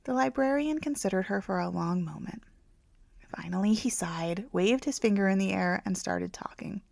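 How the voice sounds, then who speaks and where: Woman audiobook reader for romance fiction. Creaky, mid-pitched, hushed at phrase ends, very dry sound. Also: animated, normal speed, no echo. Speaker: author reading own audiobook. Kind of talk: scripted narration, romance audiobook.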